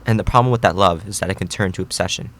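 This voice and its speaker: A man playing a gentle, reflective middle-aged Japanese immigrant father in an audio drama, speaking in a measured, reassuring way. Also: low voice, a little hiss or hum.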